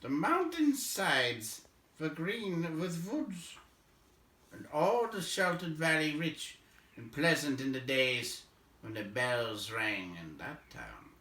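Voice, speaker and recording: A man voicing a sad, grim and wary old dwarf from a storybook, speaking slowly and solemnly. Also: a little high, big pitch swings, bright, forceful tone, pitched above the performer's own voice, exaggerated voice. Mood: sad, wistful. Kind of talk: storybook character voice in read-aloud. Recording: roomy echo, distant microphone.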